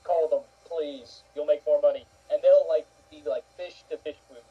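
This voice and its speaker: A man co-hosting a casual chat in a loose, slightly halting way, on a muffled recording. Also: fairly high pitch, fairly even intonation, husky. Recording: dull, muffled lo-fi audio.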